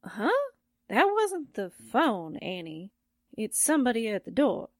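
A woman's voice: on the high side, big pitch swings, a little slow, no echo, studio quality. A woman acting a sardonic, wisecracking sidekick to a reporter in a 1940s-style radio drama. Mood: puzzled, cooperative. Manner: wry, wisecracking.

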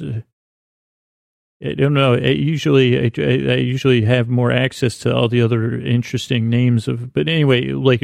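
Gravelly slow-rambling male podcaster. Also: mid-range voice, darkish tone, no echo, studio quality. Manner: slow meandering soothing delivery. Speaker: podcast host. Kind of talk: rambling sleepy podcast intro monologue.

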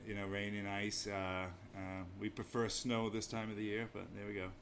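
A man's voice: on the low side, level tone, normal speed, a bit bright. An American man from Maine chatting casually as an interview guest, in a hesitant, modest, understated way. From Maine, United States. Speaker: interview guest, inn owner. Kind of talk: casual chat. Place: small pub off an inn lobby. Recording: faint background noise.

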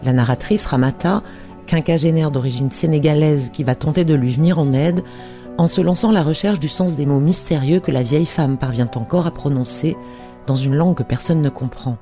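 Male host, raised pitch, gravelly and mellow, measured interview delivery. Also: soft background music. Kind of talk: scripted introduction then interview questions.

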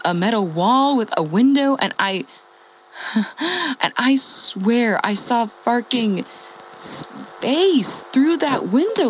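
Wry ex-radio host surviving the apocalypse, female actor, unhurried and hesitant, confessional audio drama, with noise behind the voice. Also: on the high side, rough recording. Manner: rambling, self-deprecating, hesitant.

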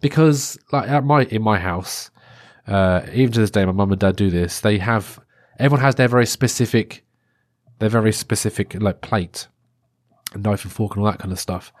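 A man's voice: lowish voice, moderate pace, no room sound, clean studio sound. A man in his thirties hosting a solo podcast, chatty and rambling, with a stuffy cold. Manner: rambling and chatty, many restarts and fillers. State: stuffy-nosed, congested. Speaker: podcast host.

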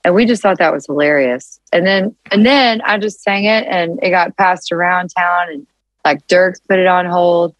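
A woman songwriter with a deeper, low-register voice, chatting casually as an interview guest and telling animated stories with many restarts. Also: normal speed, no echo, low-quality recording. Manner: animated storytelling with frequent restarts and laughs.

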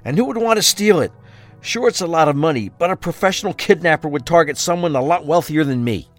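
A man's voice: a little high, expressive, quick pace, faint music behind, close to an everyday voice. A man playing a guarded, determined husband in a crime audio drama, speaking with intensity. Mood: puzzled. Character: capable, determined husband of a kidnapped wife.

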